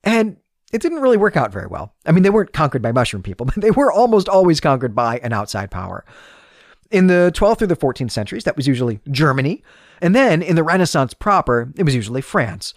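A man's voice: fairly high pitch, big pitch swings, fast talker, dry recording, studio quality. A man hosting a podcast, giving an articulate, explanatory literary analysis in a semi-scripted, lecture-like monologue. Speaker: podcast host.

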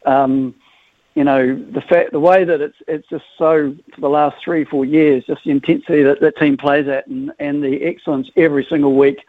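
A New Zealand man in his fifties calls in over a thin, tinny phone line. His voice sits a little high and sounds close and dry, and he talks with eager enthusiasm, stopping and restarting his sentences often. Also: dry recording. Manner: enthusiastic, halting with many restarts. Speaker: football supporters club guest. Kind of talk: radio phone interview.

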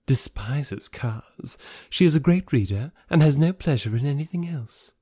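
A man's voice: medium pitch, expressive, unhurried, a little mellow, slightly rough, dry recording, studio quality, voice pushed up. A man voicing a haughty, catty young society lady in an audiobook, with an affected, snobbish and emphatic delivery. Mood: arch, sneering. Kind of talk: classic novel audiobook character voice.

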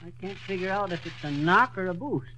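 A man performs a boastful small-town husband spinning tall tales in a folksy drawl. The voice sits high and bright and swoops up and down. It comes from an old radio broadcast recording. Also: animated, a little fast. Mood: puzzled. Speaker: comedy lead. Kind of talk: 1940s radio comedy acting.

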